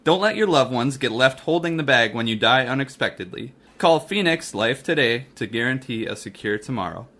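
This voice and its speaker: A man reads as a scripted announcer for a radio drama. The voice is bright and crisp-edged, sitting at a medium pitch. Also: animated, moderate pace, few pauses, slight background noise. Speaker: announcer and narrator.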